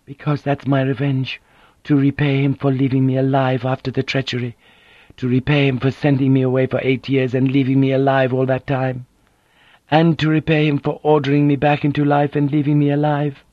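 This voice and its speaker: Proud hurt noblewoman, cool and bitter, kinda high, male reader doing her voice, dull flat sound. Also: a little fast, no room sound. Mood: resentful. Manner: calm, controlled, bitter.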